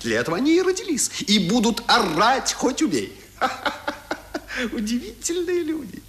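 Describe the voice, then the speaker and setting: An actor playing a cheerful, loyal young manservant in a radio drama, lively and deferential. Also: male voice, very high voice, unhurried, a little hiss or hum, full character voice. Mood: cheerful, soothing. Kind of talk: 1970s Russian radio drama acting.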